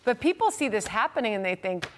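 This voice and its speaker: A woman's voice: a little high, big pitch swings, quick pace. A woman anchoring a live news program, interviewing in a conversational style.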